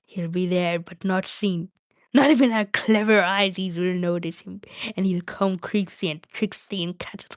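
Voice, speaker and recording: A woman's voice: on the low side, dry recording. A woman acts out a wretched storybook cave creature, hissing and whispering to itself. It mutters suspiciously in repetitive baby-talk, and the sound is close and dry. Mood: fearful, urgent.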